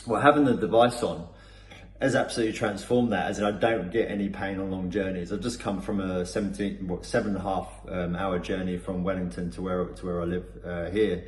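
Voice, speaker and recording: Deep-voiced Cornish man, hesitant testimonial. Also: steady tone, brisk. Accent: Cornish English. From Cornwall, England. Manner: hesitant, many fillers and restarts. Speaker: customer giving a testimonial. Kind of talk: unscripted testimonial. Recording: echoey room with faint background noise.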